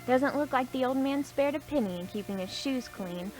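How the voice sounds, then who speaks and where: A woman's voice: high-pitched, expressive, moderate pace, faint music behind, low-quality recording. A woman playing a brazen, crafty old woman peddling scavenged goods in a radio play, saucy. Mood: eager, sly. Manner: saucy, hawking her wares.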